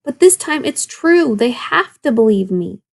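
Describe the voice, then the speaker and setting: A woman voicing an excitable young shepherd boy who yells and pleads in a storybook read-aloud. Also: on the high side, measured pace, vocal fry, full character voice. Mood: pleading. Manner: yelling, pleading.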